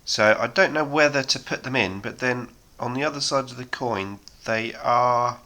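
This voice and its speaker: Man chatting while painting, bright. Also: mid-range voice, normal speed. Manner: relaxed, rambling, many ums. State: sore throat, slightly hoarse. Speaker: hobby painting channel host. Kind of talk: casual chat while painting.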